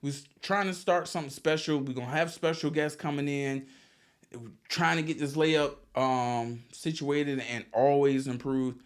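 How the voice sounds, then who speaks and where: A man's voice: a little high, steady tone, moderate pace. A man hosting a casual podcast, talking loosely with frequent swearing and laughter. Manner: loose, profane, laughs often. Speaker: podcast host. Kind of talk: casual chat.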